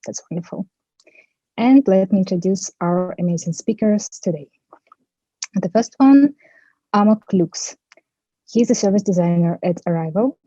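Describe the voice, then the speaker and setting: A woman's voice: medium pitch, normal speed, long pauses, mellow, no room sound, muffled recording. A woman moderating a panel on a video call, carefully and formally reading out the speakers' introductions.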